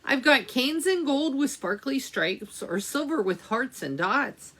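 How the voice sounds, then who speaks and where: A woman's voice: sits high, very animated, measured pace, a bit bright, heavily acted. Woman reading as an emphatic, lilting fairy shopkeeper. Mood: emphatic, apologetic.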